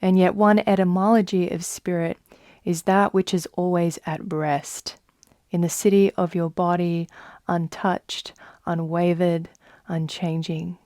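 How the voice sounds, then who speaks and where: Slow, mellow woman guiding meditation. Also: on the low side, steady tone, no echo, studio quality. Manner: soft, soothing, unhurried with long pauses. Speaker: yoga nidra guide. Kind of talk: guided meditation.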